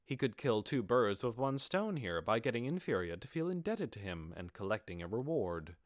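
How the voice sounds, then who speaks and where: Lively male audiobook narrator, dry sound. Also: fairly low pitch, normal speed, dry recording. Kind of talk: expressive scripted fantasy narration.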